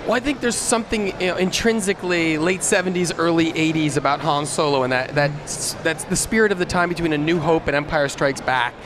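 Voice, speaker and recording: High-voiced man explaining over clear noise. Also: fairly quick, hardly any pauses. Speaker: radio play creator and director. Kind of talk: casual interview talk. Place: live convention event, microphone. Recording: clear background noise of convention.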